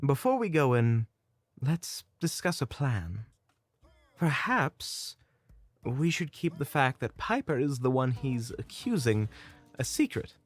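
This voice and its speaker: Man as a slow, careful, formal hunter. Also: medium pitch, very animated, breathy edge, no room sound, exaggerated voice. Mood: cautious. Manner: measured, formal, deliberate. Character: formal, cautious male hunter, earnest planner. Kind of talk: audio roleplay acting.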